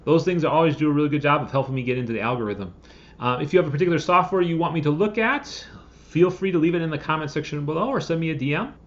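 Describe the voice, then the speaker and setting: A man's voice: a little high, lively intonation, fast. A man in his forties giving a casual, explanatory review to camera as a video host, recorded in a room at home. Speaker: host of a screenwriting software review channel. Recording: slightly dull webcam audio.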